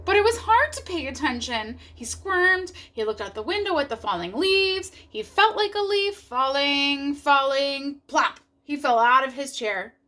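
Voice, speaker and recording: A woman reading a storybook aloud for children in an animated narrating style. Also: high voice, a little slow, hardly any pauses. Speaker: storybook reader.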